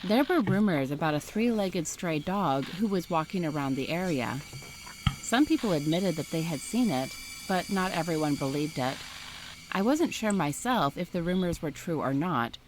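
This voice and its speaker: A woman reading a first-person story aloud in a steady, scripted narration style. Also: fairly low pitch, moderate pace, slight background noise, low-quality recording.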